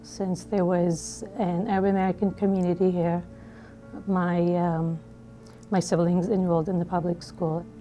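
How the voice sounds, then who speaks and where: A middle-aged woman from Liberia recounts her past in a seated studio interview. Her voice is mellow and a little low, and she talks in a relaxed, conversational way full of small fillers. Faint music plays underneath. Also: normal speed, low-quality recording. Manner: conversational, frequent "you know" fillers. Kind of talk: personal storytelling. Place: studio with dark backdrop.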